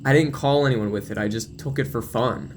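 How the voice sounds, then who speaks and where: A man's voice: mid-range voice, fairly quick, slight background noise, close to an everyday voice. A man playing an anxious teenage boy in an audio drama, pleading and defensive.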